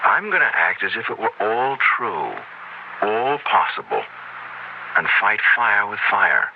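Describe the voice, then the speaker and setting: Earnest, determined academic; very low voice, hesitant explanations, muffled vintage radio sound. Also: male voice, big pitch swings, a little slow. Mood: resolute. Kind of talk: 1970s radio drama acting. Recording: dull, lightly noisy 1970s radio recording.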